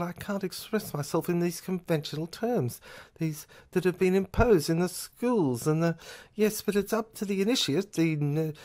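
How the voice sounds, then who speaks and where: A woman's voice: on the low side, a little mellow, natural voice. A woman playing an obsessive, rambling philosopher in an audio drama, stammering and repeating words. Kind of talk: dramatic audio play monologue.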